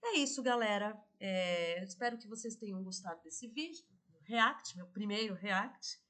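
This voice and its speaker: A hoarse woman with a cold talks through a reaction video in a slow, explanatory way. She keeps checking in with her audience, and her voice sits in a middle pitch. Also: animated, fairly bright tone. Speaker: spirituality video host.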